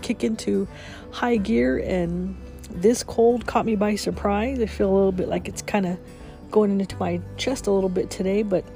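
A woman's voice: medium pitch, vocal fry, mellow. A woman hosting her morning podcast, sniffly from a cold, chatting cheerfully and laughing often. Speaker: podcast host. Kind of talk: casual morning chat.

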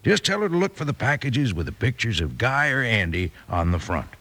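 An actor playing a folksy, enthusiastic cowboy pitchman with a gravelly voice and American accent in a radio commercial. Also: sing-song, a little fast, pushed and bright. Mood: hearty. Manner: drawling folksy salesmanship. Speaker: radio advertiser.

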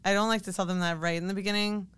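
A woman with a strikingly smooth, clean voice at a medium pitch speaks as a podcast guest. She is a fitness coach, and her delivery is informal and conversational, like someone explaining things in a casual chat. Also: fairly even intonation, very fast, fairly bright tone.

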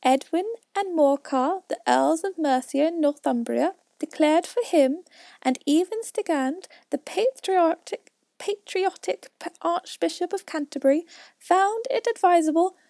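A woman voicing a fussy, self-important male mouse who lectures the others in a raised, high voice. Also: lively intonation, unhurried, creaky, no echo, heavily acted. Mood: pompous. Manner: pompous, lecturing, high and loud.

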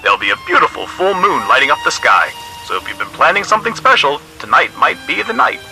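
A man voicing an upbeat weather announcer heard through a radio, in an animated film fandub. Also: a little high, fast, soft background music, exaggerated voice, poor audio. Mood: cheerful.

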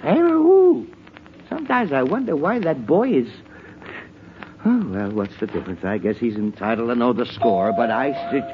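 A man's voice: mid-range voice, sing-song, moderate pace, lightly acted. An actor playing a put-upon middle-aged father in a 1940s radio comedy, grumbling and sputtering in long-suffering exasperation. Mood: sarcastic, weary. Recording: muffled, noisy 1940s radio recording.

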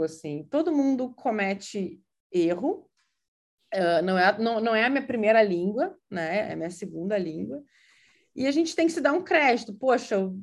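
A woman from Brazil with a gaúcho accent, talking casually and hesitantly, full of fillers and restarts. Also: on the high side. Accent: Gaúcho Brazilian Portuguese. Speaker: interviewee. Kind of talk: casual conversational account.

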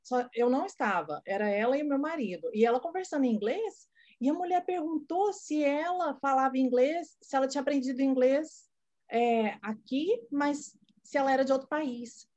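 A woman's voice: sits high, normal speed, a little mellow. A woman hosting an interview, reflecting on her own family and asking long questions. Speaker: interview host. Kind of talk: conversational interview.

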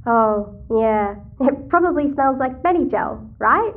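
A woman's voice: high-pitched, expressive, unhurried, narrow, tinny audio. An actress playing a chatty, cheeky, nosy teenage girl in an audio sci-fi drama. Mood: teasing. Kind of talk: audio-fiction drama dialogue.

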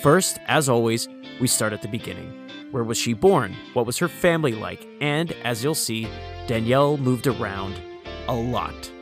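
A man narrates the host's scripted intro in a calm, measured voice. Plucked guitar music plays clearly under him the whole time. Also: mid-range voice. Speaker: podcast host.

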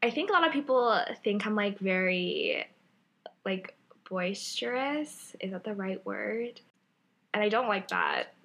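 Young woman cohosting a chatty podcast, loud voice that really carries, lots of energy. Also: mid-range voice, sing-song, a little slow. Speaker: podcast co-host. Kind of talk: casual chat.

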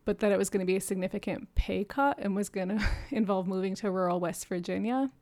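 A woman's voice: medium pitch, fairly even intonation, fast talker, darkish tone. A woman in her thirties telling her personal story as a podcast guest, earnest and reflective, laughing often between thoughts. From Japan and New York City. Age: 30s.

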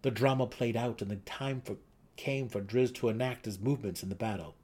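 A man's voice: mid-range voice, brisk, a little mellow, slightly rough. A man reading a fantasy novel aloud as a dramatic narrator. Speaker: audiobook narrator.

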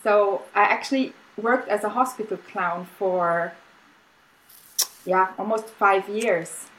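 A woman's voice: mid-range voice, takes it slowly, rough recording. Austrian lady, german first language, easy chatty guest on a video call, lots of little laughs and ums. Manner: relaxed, laughs often, many fillers and restarts. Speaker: guest artist. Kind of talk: casual interview chat.

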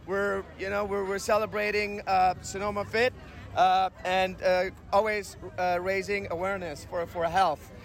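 A man from Hungary, building a gym float, talking haltingly with many fillers outdoors at a parade. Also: high-pitched, little pitch movement. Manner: hesitant, many fillers and restarts. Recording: music playing behind.

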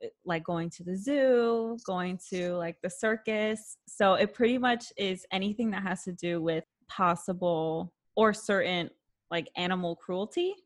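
A woman's voice: mid-range voice, unhurried. A woman hosting a casual interview, friendly and chatty, with frequent fillers. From Puerto Rican family background. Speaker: interview host.

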